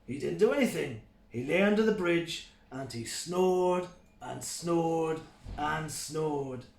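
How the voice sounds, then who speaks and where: A man voicing a big tough billy goat father from a storybook, telling tales in a fatherly, measured way in an echoey room. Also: a little high, expressive, gravelly, full character voice. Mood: teasing storytelling. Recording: roomy, echoing sound.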